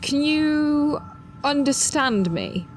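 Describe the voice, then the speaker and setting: A woman's voice: high-pitched, animated, extremely slow, a little hiss or hum, full character voice. A woman playing a nerdy, curious, snarky teenage inventor in an audio drama. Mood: curious, awed.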